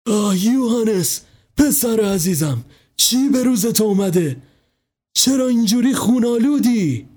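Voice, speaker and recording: A man's voice: high voice, lively intonation, mellow, pitched above the performer's own voice. A man voicing an anxious, loving middle-aged mother who pleads with her son in a storybook reading. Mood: anguished. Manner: pleading, rising and tearful.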